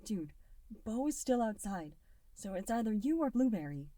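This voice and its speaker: A casual, blunt sporty guy who talks like a buddy, as a woman reads him. His voice bounces up and down in a lively way. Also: medium pitch, moderate pace, no room sound, distant mic, voice pushed up, natural voice.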